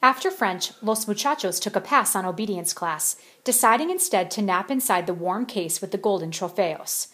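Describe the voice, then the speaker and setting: Very animated woman reading a children's storybook. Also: medium pitch, moderate pace, few pauses, husky. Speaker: read-aloud storyteller.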